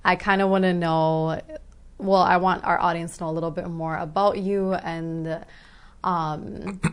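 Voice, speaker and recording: A woman's voice: on the low side, smooth. A woman co-hosting a podcast, asking curious follow-up questions in a casual interview. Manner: curious, asks follow-up questions, encouraging backchannels.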